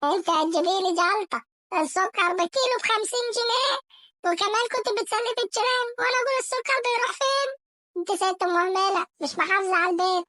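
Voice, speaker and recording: High-pitched, whiny angry Egyptian cartoon husband. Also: quick pace, bright, forceful tone, no echo, clean studio sound. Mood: wailing outrage. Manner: scolding, wailing complaints. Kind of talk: Arabic comedy cartoon sketch.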